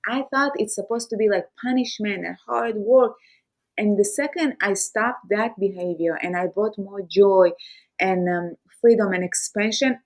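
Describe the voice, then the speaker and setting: A woman podcast host who speaks English as her third language, talking candidly and personally, sometimes repeating her words. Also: medium pitch. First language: Farsi or Hebrew. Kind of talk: conversational interview.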